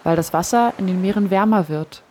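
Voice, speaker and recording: A woman playing a mellow-voiced coastal woman. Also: fairly low pitch, brisk, a little hiss or hum, lightly acted. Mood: plain. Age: 30s.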